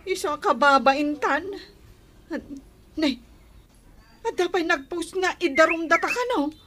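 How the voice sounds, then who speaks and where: Woman acting a slow, dramatic Ilocano gossip. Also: very high voice, a bit bright. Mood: distressed. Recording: clear background noise.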